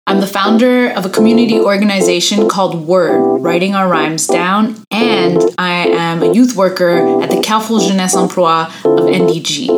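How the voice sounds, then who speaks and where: A woman who works as a youth worker, reading a podcast intro in a clear, steady way. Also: lowish voice, a little slow, few pauses. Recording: loud guitar music behind the voice.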